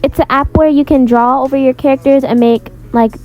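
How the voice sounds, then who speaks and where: A woman's voice: sits high, fairly even intonation, brisk, darkish tone, faint music behind. A woman giving a casual, rambling step-by-step tutorial voice-over in an informal way. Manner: informal, rambling, repeats filler phrases. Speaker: video creator and host of a tutorial.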